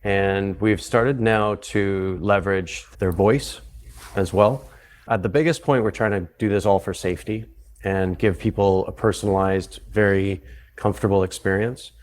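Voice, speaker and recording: Canadian guy talking easy on a podcast, lowish voice, restarts a lot. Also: normal speed. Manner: relaxed, explanatory, with frequent restarts. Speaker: guest, psychedelic retreat founder. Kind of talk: conversational interview.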